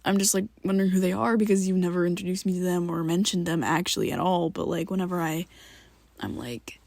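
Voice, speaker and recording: A woman in an ASMR roleplay, talking to the listener as a worried best friend, halting and rambling, often sinking into a soft whisper close to the mic. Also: fairly low pitch, creaky, no room sound. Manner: hesitant and rambling, halting restarts.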